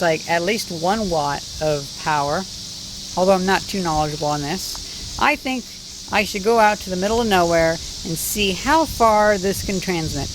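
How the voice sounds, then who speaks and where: A man giving casual hobby vlog narration in a tentative, self-deprecating way outdoors. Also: high voice. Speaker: vlogger. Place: outdoors in rural countryside. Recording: noisy outdoor field recording.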